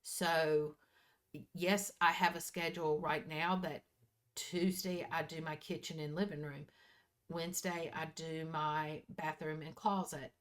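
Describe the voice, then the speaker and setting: A woman in her early sixties with a voice a little lower than most women's. She talks casually and candidly, as a vlogger does to regular viewers. Her delivery is folksy, and she repeats and corrects herself as she goes. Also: flat delivery, a little slow, fairly bright tone. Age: early 60s. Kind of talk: casual personal chat to viewers.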